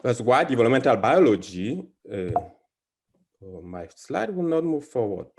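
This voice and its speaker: A man from Cameroon, a biology professor, giving an academic presentation over a video call, halting with many fillers and restarts. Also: mid-range voice, very animated.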